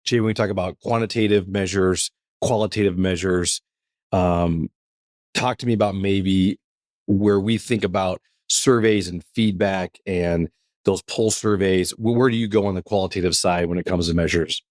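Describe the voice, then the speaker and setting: An upbeat man hosting a workplace podcast in a relaxed, chatty way, with plenty of fillers. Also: low-pitched, moderate pace, dry recording, clean studio sound. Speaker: podcast host. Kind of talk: casual podcast chat.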